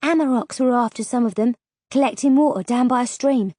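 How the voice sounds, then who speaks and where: A woman's voice: a little high, fairly quick, warm tone, dry recording, studio quality, pitched above the performer's own voice, close to an everyday voice. A woman voicing a bold, teasing young woman of the wilds with cheeky, colloquial talk in an audiobook. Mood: matter-of-fact. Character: teasing, bold young woman, rough-edged forest survivor.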